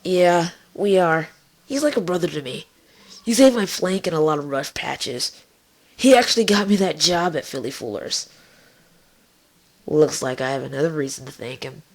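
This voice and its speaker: A woman's voice: low voice, lively intonation, normal speed. A woman voicing a brash, wry, tough young pony in a story reading, sardonic and nonchalant. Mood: matter-of-fact. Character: brash, foul-mouthed young party-loving mare, wry and tough.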